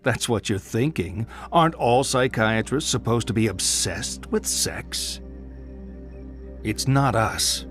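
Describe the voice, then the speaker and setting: A man's voice: mid-range voice, animated, slow, a bit bright. An actor playing a provocative, impatient male psychiatrist in a video game, theatrical and pointed, asking rhetorical questions. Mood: sly, needling. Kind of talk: dramatic video game acting. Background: music.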